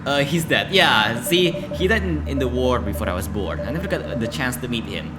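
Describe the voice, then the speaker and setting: A man's voice: mid-range voice, expressive, normal speed, close to an everyday voice. A man voicing a polite, hesitant young woman visitor in a visual novel, stumbling over words, with music behind. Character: polite young woman visitor searching for her grandfather's grave.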